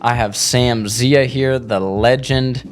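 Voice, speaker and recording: A man's voice: medium pitch, fairly even intonation, slow, faint music behind. A man hosting a podcast, chatting casually and energetically with his guest. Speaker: podcast host.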